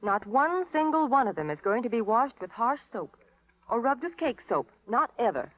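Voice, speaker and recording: A woman's voice: fairly high pitch, sing-song, moderate pace, a bit bright, low-quality recording. Perky lady in an old 1930s radio ad, voice bouncing up and down, emphatic. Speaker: radio commercial spokeswoman. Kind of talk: scripted 1930s radio ad banter. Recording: vintage 1930s radio broadcast recording.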